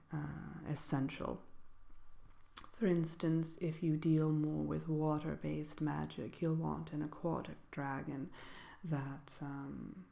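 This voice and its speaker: A woman playing a genteel sorceress presenting a seminar in a soft-spoken ASMR roleplay, speaking in a hesitant, gently formal, measured way. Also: sits low, gravelly, heavily acted. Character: genteel female fairytale sorceress lecturing on magical companion animals.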